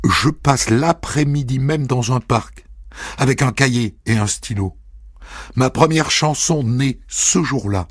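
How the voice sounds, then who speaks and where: A man telling a first-person story as a narrator, in a measured, intimate reading. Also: mid-range voice, no echo. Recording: dry studio voice over soft music.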